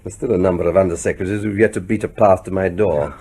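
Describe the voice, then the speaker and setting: An actor playing a wry, combative new British prime minister in a radio drama, with a husky voice and brisk, conversational authority. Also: male voice, low-pitched, steady tone, dark tone.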